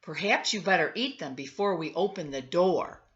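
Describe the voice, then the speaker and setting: A woman's voice: fairly low pitch, animated, normal speed, dull audio, natural voice. A woman voicing a hospitable, welcoming mother from a children's storybook in a singsong manner. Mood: concerned.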